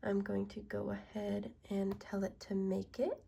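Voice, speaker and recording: A woman narrating her small business vlog, stuffy and congested, walking through her work in a soft, unhurried way. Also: mid-range voice, level tone, gravelly, breathy edge. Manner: slow, soft-spoken walkthrough.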